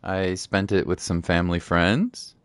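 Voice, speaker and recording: Low-voiced man chatting on stream. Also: fairly even intonation, unhurried, clean tone, dry recording. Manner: slangy, jokey, rambling. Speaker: game streamer. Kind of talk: casual livestream chat over gameplay. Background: game music.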